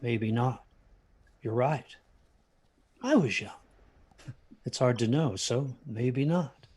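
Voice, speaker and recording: An actor playing a haunted older grandfather, husky-voiced, telling a ghost story of his youth with halting intensity. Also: mid-range voice, darkish tone. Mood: weary, evasive. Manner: halting, intense, repeats himself. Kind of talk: dramatic storytelling.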